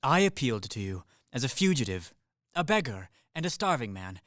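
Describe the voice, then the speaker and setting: A man's voice: medium pitch, big pitch swings, brisk, fairly bright tone, no echo, studio quality. A man playing a practical Swiss army captain in his thirties in a radio comedy, matter-of-fact and emphatic. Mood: earnest, insistent. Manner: matter-of-fact, emphatic, reeling off lists and figures. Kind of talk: stage-comedy radio play acting.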